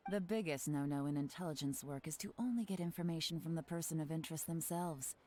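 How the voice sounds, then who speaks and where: A woman voicing a composed intelligence chief in a video game, speaking calmly and with quiet authority over faint game music. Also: low voice, fast talker, a little hiss or hum, lightly acted. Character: cool-headed woman spymaster giving orders. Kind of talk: game voice acting.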